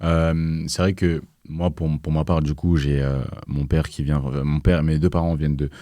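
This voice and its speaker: Young male guest, very low flat voice, dark tone, halting delivery with lots of fillers. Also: no echo. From France. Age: young adult. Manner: hesitant, many fillers and restarts. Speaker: guest, activist. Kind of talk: casual interview conversation.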